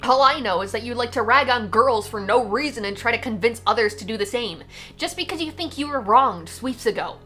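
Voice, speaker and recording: Casting: woman to play defiant young alien outcast girl, high voice, guarded and sarcastic, casual delivery, comic fan dub over music. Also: expressive, brisk, pushed and bright.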